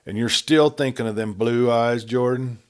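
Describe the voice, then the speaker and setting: A man's voice: mid-range voice, normal speed, voice pushed up, natural voice. Man voicing a taunting outlaw chief. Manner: taunting, commanding, rough frontier talk. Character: sarcastic, menacing male outlaw gang chief. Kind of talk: storybook reading.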